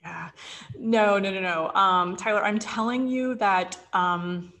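A woman's voice: mid-range voice, slow, fairly bright tone. A woman giving advice in a casual conversation as an expert guest, emphatic and often answering her own questions. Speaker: guest, sales author and publisher giving advice.

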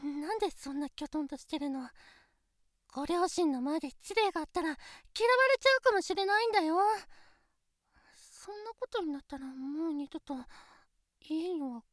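A woman voicing a nervous, earnest teenage girlfriend in a situation voice drama, fretting in soft close-up talk with hushed asides. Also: high voice, lively intonation, moderate pace, no room sound. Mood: flustered, fretting. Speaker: voice actress.

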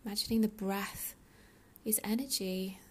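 A woman leading a guided meditation in a soothing, unhurried, breathy soft delivery with pauses. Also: medium pitch, fairly even intonation, slight background noise. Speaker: meditation guide, yoga teacher.

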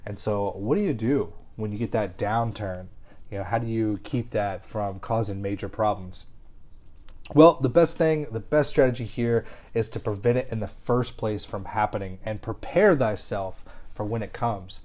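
A man's voice: lowish voice, normal speed. Stuffy-nosed man with a cold, upbeat host. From Kentucky. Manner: energetic, casual and pep-talking. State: congested with a head cold. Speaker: podcast host. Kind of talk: solo marketing podcast talk.

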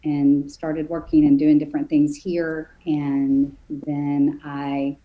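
A woman in her forties from East Texas, a podcast guest telling her story softly and letting her sentences trail off. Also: low-pitched, little pitch movement, slow pace, highs cut off. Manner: soft-spoken, trails off quietly. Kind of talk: casual conversational storytelling.

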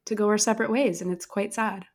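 Woman co-host, slightly raspy recovering voice, chatty, easy laughter, loose conversational delivery. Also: medium pitch, steady tone, fast talker. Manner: chatty, laughing, uses lots of "like". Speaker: podcast co-host. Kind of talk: casual chat.

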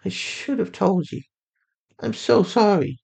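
A man voicing a gentle, high-pitched sorrowful mother. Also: lively intonation, measured pace, gravelly, heavily acted. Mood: remorseful, soothing. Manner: tender, soothing, endearing. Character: gentle, loving, sorrowful mother of a teenage girl.

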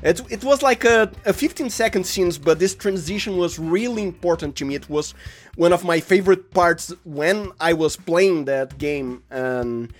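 A man with a Brazilian accent and Portuguese as his first language chats casually as an interview guest, hesitating and restarting words as he goes. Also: fairly high pitch. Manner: hesitant, halting with repeated word starts. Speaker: podcast guest, sound designer and voice actor.

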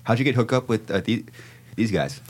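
A man with a deep, low voice hosts a casual podcast interview. He talks eagerly in a loose, slangy way and often trips over and repeats his words. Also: lively intonation, fairly quick. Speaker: podcast host.

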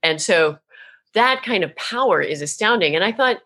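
A woman from Tennessee with no regional accent, an animated, expressive storyteller talking as a podcast guest. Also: medium pitch, normal speed. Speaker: guest, author and minister. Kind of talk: casual interview talk.

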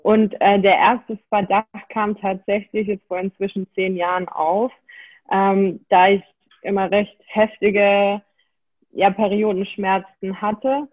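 A woman's voice: mid-range voice, slow pace. Young woman on a tinny phone-like line. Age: 20s. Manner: relaxed, hesitant with many fillers. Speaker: interview guest. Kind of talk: casual interview talk.

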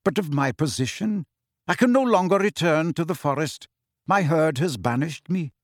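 A man voicing a calm, aloof male centaur teacher in an audiobook, speaking in a measured, solemn, formal way. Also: fairly high pitch, no room sound, clean studio sound. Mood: solemn, quiet. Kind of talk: acted audiobook dialogue.

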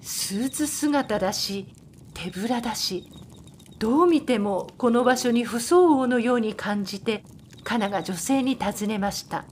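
A woman's voice: fairly high pitch, unhurried, darkish tone, slight background noise. A woman reading scripted narration for a radio drama.

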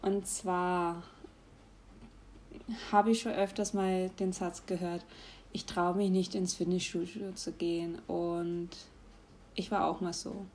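A young woman, giving casual advice in a chatty way, often laughing and pausing with fillers. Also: on the low side, level tone, darkish tone, slight background noise. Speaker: vlogger.

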